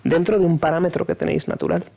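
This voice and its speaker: A man's voice: on the high side, steady tone, fast talker, gravelly, dark tone. A man on an online panel, explaining with confident, rhythmic lists of points. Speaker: oratory speaker on a panel. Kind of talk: panel discussion. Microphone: video call.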